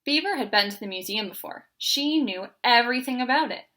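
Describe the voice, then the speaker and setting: A woman's voice: a little high, lively intonation, fairly quick, pushed and bright. A woman reading a children's picture book aloud as a storyteller.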